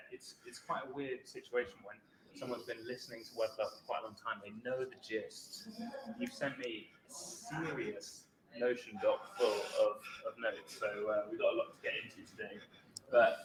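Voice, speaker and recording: A man hosting a podcast, asking questions in a chatty, loose way. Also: medium pitch. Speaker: podcast host. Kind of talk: casual podcast interview. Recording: roomy with faint background noise.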